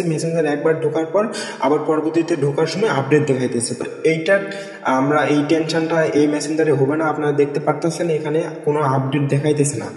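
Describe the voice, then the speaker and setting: A man's voice: on the high side, a little mellow. A man with a Bangladeshi accent hosting an informal step-by-step screen tutorial, repeating his instructions and mixing in English tech terms. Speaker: tutorial video host. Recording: echoey, reverberant, distant from the mic.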